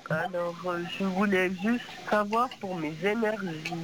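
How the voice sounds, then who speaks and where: A woman's voice: mid-range voice, unhurried, background noise. A woman calling a psychic show, chatty and a little apologetic, speaking with a head cold. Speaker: caller.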